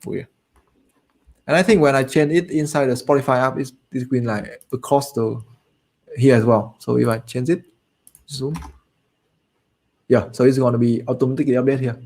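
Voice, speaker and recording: A man's voice: medium pitch, moderate pace, long pauses, a little mellow. Man thinking aloud while coding. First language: Vietnamese. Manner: thinks aloud, muttering to himself with frequent fillers. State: voice getting low and tired. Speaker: coding tutorial host. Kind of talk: casual live coding walkthrough.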